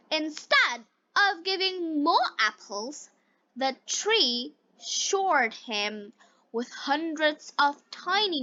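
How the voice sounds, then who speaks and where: Woman teacher reading for english learners, high bright voice, takes it slow, kinda dull dry recording. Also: dry recording. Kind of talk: slow scripted story narration for learners.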